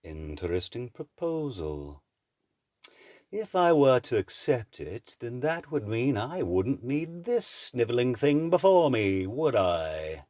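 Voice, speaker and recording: A man's voice: mid-range voice, very animated, takes it slowly, darkish tone, no echo, clean studio sound. A man playing a suave, menacing villain in an audio drama, mannered, condescending and mockingly polite. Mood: mocking, calculating.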